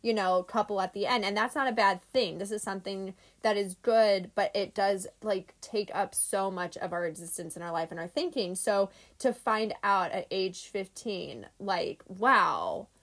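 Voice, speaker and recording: A woman's voice: medium pitch, normal speed, hardly any pauses. Chatty young woman, podcast banter. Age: 20s. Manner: chatty, animated, lots of "like" and laughter. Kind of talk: casual chat.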